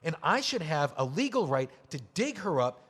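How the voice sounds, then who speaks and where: An actor playing a bitter, drunk middle-aged widower, sarcastic and slurring, in an audio drama. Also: male voice, on the high side, very animated, moderate pace, fairly bright tone, slightly rough, in a large hall, heavily acted. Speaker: memoir author.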